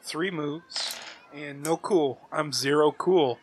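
A man's voice: a little high, slow, slight background noise. A man playing a tabletop role-playing game, chatting casually and cracking jokes. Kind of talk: casual joking table chat.

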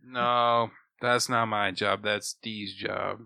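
Crude, wisecracking man with a bright voice. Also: on the low side, little pitch movement, slow pace, gravelly, no echo. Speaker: player in a tabletop game. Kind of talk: casual joking banter.

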